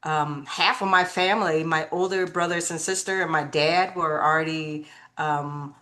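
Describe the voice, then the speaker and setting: A woman in her fifties from Vietnam with a Vietnamese accent, telling her life story as an interview guest, halting with many fillers and restarts. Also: deep voice, steady tone, bright, forceful tone. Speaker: martial arts master guest.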